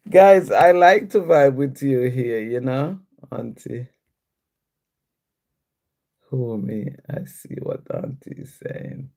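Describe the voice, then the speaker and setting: A woman's voice: extremely low pitch, drawn-out words, pauses often, warm tone. A woman hosting a casual live stream, reading viewer comments aloud and answering them in a chatty way with frequent laughs.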